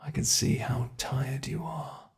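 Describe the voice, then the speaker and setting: A man performs a centuries-old monster in an ASMR roleplay. It is a cruel, playful predator that taunts its prey in a gravelly voice. He speaks slowly and deliberately, often sinking into close, menacing whispers. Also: mid-range voice, steady tone.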